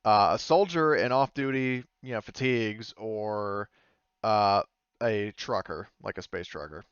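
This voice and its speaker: A man acting as game master, describing scenes and rules casually in halting phrases. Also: lowish voice, a bit bright, smooth, dry recording, dull audio. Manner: hesitant, halting phrasing with frequent "like" and "you know". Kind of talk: improvised tabletop game narration with casual rules talk.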